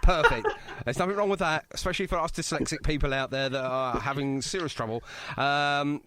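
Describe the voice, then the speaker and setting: A man's voice: on the high side, brisk, bright, forceful tone. A man hosting a radio interview in a chatty, jokey, effusive way. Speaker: radio show host. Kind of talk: casual, bantering radio interview.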